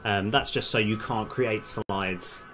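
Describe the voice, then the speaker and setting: A man's voice: on the low side, fairly even intonation, takes it slowly, music in the background. A man doing casual live-stream commentary as a game developer, thinking aloud in a hesitant, self-correcting way.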